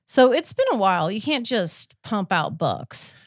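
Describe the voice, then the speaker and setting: A woman hosting a podcast in casual chat, recounting a case informally with a halting, filler-heavy delivery. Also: medium pitch, very animated, dry recording, studio quality. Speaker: podcast host.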